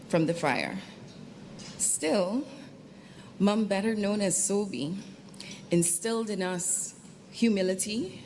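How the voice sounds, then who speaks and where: A woman reading a formal funeral tribute in a solemn, measured way. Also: fairly low pitch. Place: funeral service. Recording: clearly noisy live event sound.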